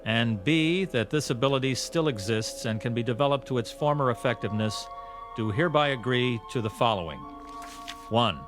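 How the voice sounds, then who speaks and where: A zealous male professor played by an actor speaks in a mid-pitched voice with formal precision. He is solemn and insistent in the manner of radio drama, with faint music behind him. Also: moderate pace, lightly acted.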